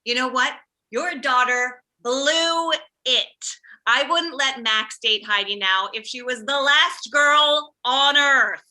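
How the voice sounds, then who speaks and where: An anxious, combative middle-aged mom, played by a woman in a comedy table read. The voice is very bright and forward, sitting fairly high. The delivery is protective and pushy. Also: slow, heavily acted, rough recording. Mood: combative, indignant. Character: anxious, overprotective, combative mother of a teenage boy.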